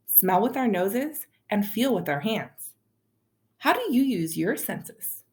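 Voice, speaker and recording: A woman reading a children's picture book aloud expressively, as a storyteller speaking to young listeners. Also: mid-range voice. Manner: animated, addresses child listeners with questions.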